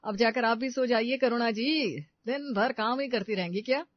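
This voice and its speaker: A woman voicing a caring young husband in a story, mock-formal and gently chiding his wife. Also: on the high side, moderate pace, no room sound, dull audio, natural voice. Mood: teasing.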